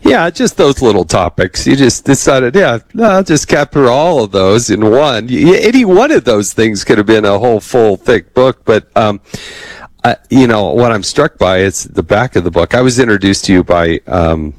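A man's voice: mid-range voice, lively intonation, normal speed, dry recording. A male interview host, chatty and enthusiastic, with a wry humor. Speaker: podcast host.

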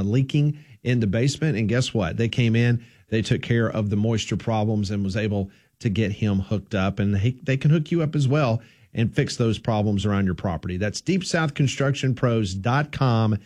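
A man hosting sports talk radio in an easygoing, folksy way. His voice sits a little low, and he moves from game talk into friendly sponsor reads. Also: darkish tone. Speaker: radio host.

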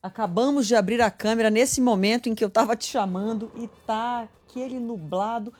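A woman's voice: on the high side, a little fast. A woman from Minas Gerais, Brazil, co-hosting a live news radio show, chatting and joking between reports. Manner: chatty, joking, animated asides. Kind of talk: casual live news chat.

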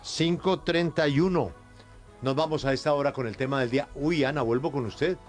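A man hosting a morning talk show, bantering quickly and teasing his co-hosts. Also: a little high, faint music behind. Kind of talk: brisk, joking studio banter.

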